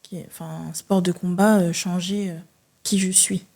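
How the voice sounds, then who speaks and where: A woman's voice: fairly low pitch, flat delivery, moderate pace, a little mellow. A woman in her early twenties from France, answering casually and a little hesitantly as an interview guest. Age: early 20s. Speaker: podcast guest, judo athlete.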